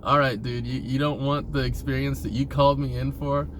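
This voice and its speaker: Clear ambient noise, like a vehicle, sits behind a man talking at an ordinary middle pitch. He rambles casually about himself in a confessional vlog style. His wording is informal and freely profane. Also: level tone, brisk. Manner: informal, profane, frequently chuckling.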